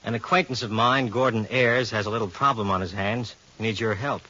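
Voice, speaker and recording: Husky american cop, dry teasing banter, old 40s radio detective show, muffled sound. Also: male voice, fairly low pitch, a little fast, pushed and bright. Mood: casual, explaining. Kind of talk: 1940s radio detective drama acting. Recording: dull vintage radio recording.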